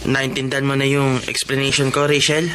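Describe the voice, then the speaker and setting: A man's voice: mid-range voice, completely flat delivery, fairly quick, over music, lightly acted, poor audio. A man playing a humble, determined young working student in a drama, polite, meek and patient. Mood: polite, modest. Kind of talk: Filipino radio-style drama acting.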